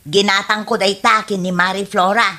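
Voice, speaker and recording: A woman's voice: medium pitch, fairly even intonation, normal speed, bright, forceful tone, lightly acted. A woman with an Ilocano accent playing a carefree, gullible wife in a radio comedy drama, breezy and defensive. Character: carefree, gullible wife, fond of lucky charms.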